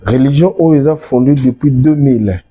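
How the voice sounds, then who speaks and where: A man's voice: mid-range voice, normal speed, mellow, husky. A man from the Congo with a Congolese accent, explaining his religion as an interview guest and restating key words. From Democratic Republic of the Congo. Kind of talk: explanatory interview talk, mixing French and Lingala.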